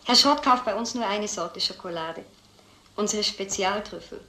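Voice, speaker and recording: A woman's voice: mid-range voice, moderate pace, natural voice. A woman playing a gossipy informant in old crime film dialogue. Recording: echoey film soundtrack under music.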